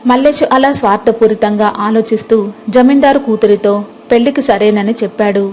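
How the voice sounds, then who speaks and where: A woman's voice: fairly high pitch, dark tone. Woman narrating a folk tale. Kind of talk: storybook narration. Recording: music playing behind.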